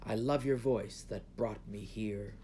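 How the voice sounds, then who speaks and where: A man's voice: on the low side, steady tone, measured pace, mellow, slight background noise. A man voicing a proud, smitten and vengeful storybook dragon who speaks and threatens in rhyme.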